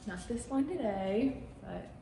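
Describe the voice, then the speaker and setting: A woman's voice: on the high side, expressive, unhurried, creaky, noisy background. A woman in her twenties talking casually and chattily in a personal weekend vlog, filmed at arm's length. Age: 20s. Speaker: vlogger and model. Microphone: camera at arm's length.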